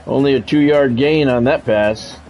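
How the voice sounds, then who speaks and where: A man's voice: medium pitch, unhurried, darkish tone, soft background music. A man doing radio-style play-by-play of a football video game on a livestream, with swearing and greetings to viewers.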